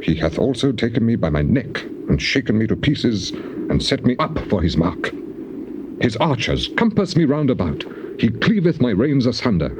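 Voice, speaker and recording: A man's voice: on the low side, background noise. An actor playing an anguished, afflicted man lamenting to God in a theatrical, declamatory scripture dramatization. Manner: declamatory, mournful, archaic phrasing.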